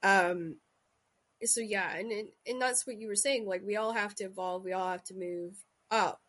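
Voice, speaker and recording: A woman's voice: medium pitch, fairly even intonation, fairly bright tone. A woman with a Scottish accent hosting a podcast interview, chatty and teasing as she calls her guest out. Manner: teasing, chatty, quick to call people out. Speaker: podcast host. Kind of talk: casual conversational interview.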